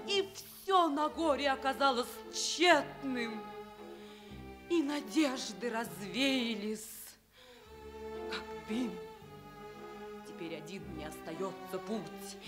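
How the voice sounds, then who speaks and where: An actress playing a proud, jealous, despairing enslaved princess in declamatory radio theatre. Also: female voice, high-pitched. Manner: declamatory, impassioned, drawn-out delivery. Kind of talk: theatrical radio stage acting.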